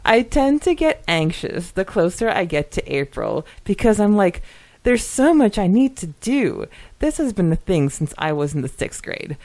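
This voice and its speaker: A woman co-hosting a podcast, reading listener comments aloud and chatting casually in an animated, giggly manner. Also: mid-range voice, moderate pace. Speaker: podcast co-host.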